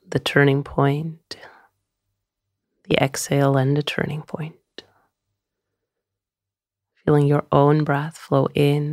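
Creaky-voiced woman guiding a gentle meditation. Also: deep voice, no echo, clean studio sound. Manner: soothing, gentle, unhurried with long pauses. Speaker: meditation guide. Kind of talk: guided meditation.

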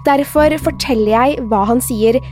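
A woman's voice: sits high, a little mellow, soft background music. A woman, a podcast host reading a scripted true crime narration.

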